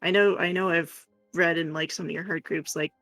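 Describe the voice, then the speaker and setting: Gravelly higher-voiced man in casual chat. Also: steady tone, normal speed, sharp tone, dry recording, faint music behind, poor audio. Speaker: co-streamer.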